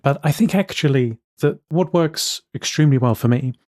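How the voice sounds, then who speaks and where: A man's voice: a little high, normal speed, a little mellow, dry recording, clean studio sound. A man co-hosting a podcast in casual tech chat, opinionated and emphatic, often repeating words for stress. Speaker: podcast co-host.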